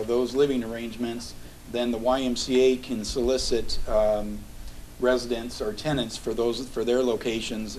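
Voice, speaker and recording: A man's voice: medium pitch, a little mellow, far from the mic, slight background noise, rough recording. A man who directs a housing agency, giving a methodical, businesslike briefing at a public meeting.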